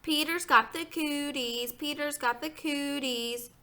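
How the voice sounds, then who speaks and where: A woman's voice: on the high side, sing-song, moderate pace, bright, forceful tone, pitched above the performer's own voice. A woman voicing a bossy, eager grade-school girl in a storybook, with sing-song, insistent delivery. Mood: taunting.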